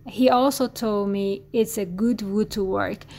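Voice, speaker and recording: A woman from Iran with an Iranian accent, a native Farsi speaker, answering interview questions in halting English and searching for words. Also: mid-range voice, steady tone, darkish tone. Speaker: guest artist.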